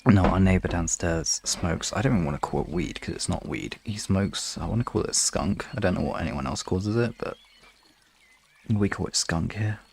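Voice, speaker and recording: A man's voice: sits low, moderate pace, creaky, slightly rough. A man chatting casually with his audience in an informal, rambling way, swearing now and then. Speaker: ASMR streamer chatting with an audience. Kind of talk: casual chat, reading and answering comments.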